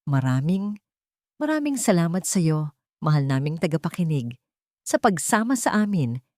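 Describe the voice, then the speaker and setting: A woman narrates a dramatic audiobook story in a scripted style. Her voice sits on the low side. The sound is dry and close, free of room echo. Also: darkish tone.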